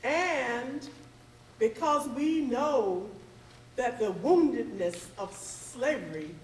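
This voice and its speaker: A woman hosting a theatre evening, speaking formally and deliberately in measured, oratorical phrases. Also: on the high side, lively intonation. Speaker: host of a readers theatre. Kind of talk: formal stage welcome address. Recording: roomy, distant mic, faint noise.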